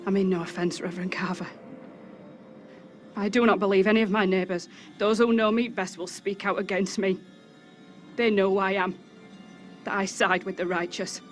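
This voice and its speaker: A woman's voice: medium pitch, steady tone, moderate pace, long pauses, faint music behind, close to an everyday voice. A woman playing an earnest accused Puritan woman in a video game, pleading in formal, archaic speech. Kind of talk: dramatic video game cutscene acting.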